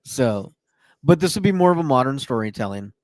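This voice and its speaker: A man hosting a podcast, relaxed and a bit jokey, running through news with many fillers. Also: medium pitch, a little fast, dry recording. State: a little woozy. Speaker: podcast host. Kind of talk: casual chat, reading news.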